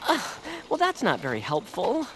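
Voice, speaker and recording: A woman's voice: on the low side, wildly swooping pitch, moderate pace, a little hiss or hum. A woman playing an anxious, grumpy but kind-hearted young male bird creature in an audio drama, earnest and fretful. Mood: hesitant. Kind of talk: audio fiction acting.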